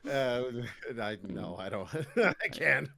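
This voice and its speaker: A man talks casually as an interview guest on a podcast. His mid-range voice moves up and down in a very animated way. He rambles in a jokey manner, often restarting his thoughts. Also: mid-range voice, drawn-out words, creaky, slight background noise. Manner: jokey, rambling, stop-start phrasing.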